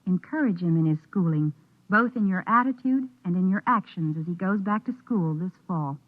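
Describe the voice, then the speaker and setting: A woman's voice: fairly low pitch, expressive, moderate pace. American woman announcer, tinny vintage radio. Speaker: public service announcer. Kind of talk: scripted 1950s radio announcement. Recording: tinny, narrow old radio recording.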